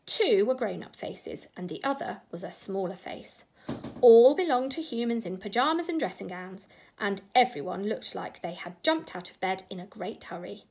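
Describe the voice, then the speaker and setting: Animated, slightly husky woman storyteller. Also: mid-range voice, normal speed. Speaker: teacher reading a bedtime story. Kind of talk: expressive children's storybook narration.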